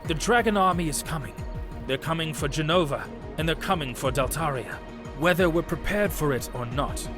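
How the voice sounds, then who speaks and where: An actor playing a resolute, noble knight commander in a fantasy audio story, speaking in a formal, commanding manner. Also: male voice, on the high side, moderate pace, over music, lightly acted. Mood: grave urgency. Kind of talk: fantasy audio drama acting.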